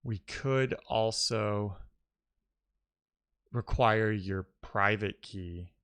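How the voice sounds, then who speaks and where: A man who streams live coding, chatting casually and musing out loud in loose fragments as he works. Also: lowish voice, drawn-out words, smooth. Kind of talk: casual thinking-aloud coding stream chat.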